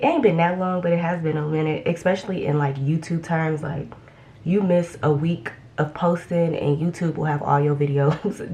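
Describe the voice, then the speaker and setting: Low-voiced woman chatting while doing makeup. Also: darkish tone. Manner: chatty, rambling with frequent ums and restarts. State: slightly congested after illness. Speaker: beauty vlogger. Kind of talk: casual get-ready-with-me chat.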